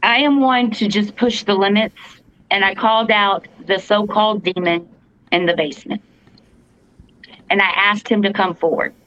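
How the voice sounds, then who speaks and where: A woman with a fairly deep voice, earnestly telling a story as a podcast co-host, halting with fillers and restarts. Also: fairly even intonation, a bit bright. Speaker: podcast co-host, paranormal investigator. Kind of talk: casual storytelling. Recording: dull lo-fi podcast audio, dry.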